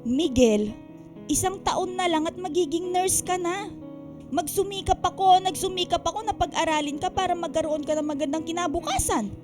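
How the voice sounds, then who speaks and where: A woman's voice: extremely high pitch, moderate pace. A woman playing a stern, resentful middle-aged aunt who scolds and nags in a Filipino radio drama. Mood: stern, scolding. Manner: scolding, nagging. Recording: radio drama with music behind.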